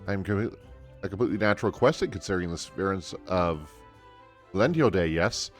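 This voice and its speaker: A man's voice: low-pitched, lively intonation, a little fast, soft background music. Man playing a shy, polite villager. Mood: shy, grateful. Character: shy, polite villager daunted by confessing love.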